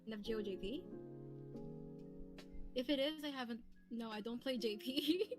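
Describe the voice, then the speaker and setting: A woman's voice: on the high side, normal speed. A woman chatting casually and giggling often as she answers questions on a livestream, as one of the hosts. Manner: giggly, laughs often while talking. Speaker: streamer, co-host answering chat questions. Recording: music playing behind the voice. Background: background music.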